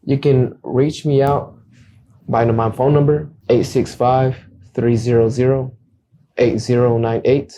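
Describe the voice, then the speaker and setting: A man's voice: medium pitch, little pitch movement, unhurried, mellow. A young man whose first language is Acateco, speaking earnestly as a guest realtor in a casual interview and often repeating words as he starts a thought. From United States.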